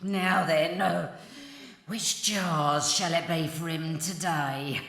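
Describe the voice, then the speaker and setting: A put-upon older maidservant from a children's audio play. She complains at an unhurried pace in a sharp, high voice that echoes around her. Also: steady tone, heavily acted. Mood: grumbling. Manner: complaining, muttering to herself, calling out. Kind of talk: children's audio drama acting. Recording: roomy cellar-like echo.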